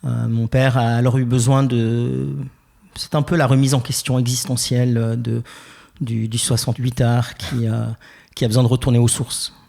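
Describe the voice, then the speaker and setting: A young Swiss French man from Geneva, telling his life story as a podcast guest, thoughtful and hesitant with many pauses and restarts. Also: medium pitch, little pitch movement, creaky, a little mellow. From Geneva, Switzerland. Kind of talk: reflective personal interview.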